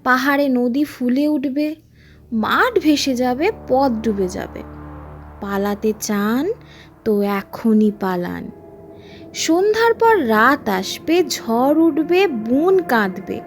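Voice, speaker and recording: An ancient, stooped old caretaker from a ghost story, acted out by a woman, cryptic and foreboding. The words are drawn out very slowly and haltingly, like ominous warnings, over faint music. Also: high-pitched, expressive, exaggerated voice. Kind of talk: horror audio story acting.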